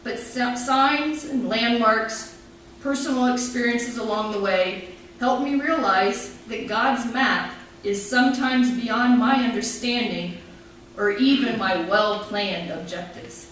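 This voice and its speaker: A middle-aged woman pastor giving a sermon, telling her story in a measured, reflective way and reading scripture. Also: on the high side, fairly bright tone. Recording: very echoey room, from far off, faint noise.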